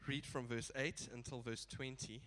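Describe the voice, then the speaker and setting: Male preacher with a head cold, nasal and bright, chatty with laughing asides. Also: medium pitch, fairly even intonation, normal speed, poor audio. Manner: casual, chuckling asides. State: stuffy blocked nose. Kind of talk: sermon.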